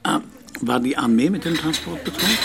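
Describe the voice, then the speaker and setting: An actor playing a discreet, persistent police commissario in a German radio drama, probing and understated. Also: male voice, fairly high pitch, animated, moderate pace, creaky, very noisy. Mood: businesslike, probing.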